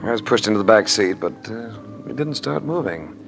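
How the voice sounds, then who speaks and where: An actor playing a wry, hard-boiled private eye with an American accent, dry and sardonic, in radio drama acting. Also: male voice, lowish voice, expressive, a little fast, creaky, over music. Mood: dry narration. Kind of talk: 1950s radio drama acting with first-person narration. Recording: very noisy old radio recording.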